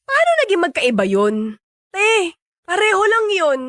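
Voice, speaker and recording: A woman's voice: very high voice, wildly swooping pitch, unhurried, fairly bright tone, no echo, studio quality, full character voice. A Filipino woman acting in a radio drama as a sassy, blunt young sister who scolds freely. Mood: scolding.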